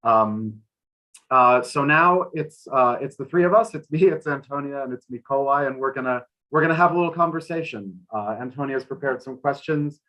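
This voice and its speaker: Man, medium pitch, translator at a live talk, halting delivery, repeated words. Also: pushed and bright, low-quality recording. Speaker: translator and interpreter. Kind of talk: live event talk and consecutive interpreting.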